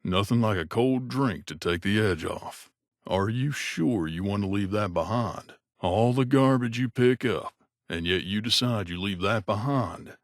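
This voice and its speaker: Low-voiced, wry mercenary. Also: male voice, unhurried, no echo, studio quality. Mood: dry reproach. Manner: wry, grumbling, conversational. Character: wry, gruff male mercenary companion in a wasteland. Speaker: video game voice actor. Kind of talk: game character voice lines.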